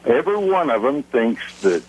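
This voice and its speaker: A man's voice: medium pitch, big pitch swings, normal speed, darkish tone, slightly rough. A man with an American accent airing a complaint as a caller on a call-in show, over a muffled broadcast phone call.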